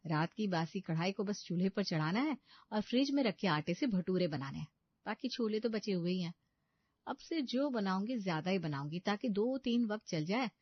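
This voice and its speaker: A scheming young daughter-in-law from a storybook tale, acted by a woman, sugary to her elders and pleased with herself. The pitch is middling. The sound is dry and slightly muffled. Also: dry recording, close to an everyday voice. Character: scheming, self-satisfied young daughter-in-law cutting corners in the kitchen.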